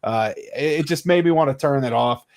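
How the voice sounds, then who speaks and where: A man's voice: mid-range voice, moderate pace. A man co-hosting a casual podcast, talking hesitantly with many fillers. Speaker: podcast co-host.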